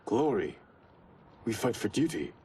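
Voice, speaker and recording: A man's voice: on the low side, sing-song, a little slow, dark tone, slight background noise. A man playing a dutiful, principled ninja warrior who speaks firmly and earnestly in a video game cutscene. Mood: earnest reproach. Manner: earnest, firm.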